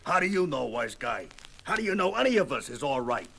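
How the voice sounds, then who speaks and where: An actor playing a gruff, hot-tempered, wisecracking pilot turned monster in a 1970s superhero audio drama, with streetwise slangy talk. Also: male voice, mid-range voice, big pitch swings, a little fast, exaggerated voice. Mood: furious, threatening. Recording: vintage record with loud music behind.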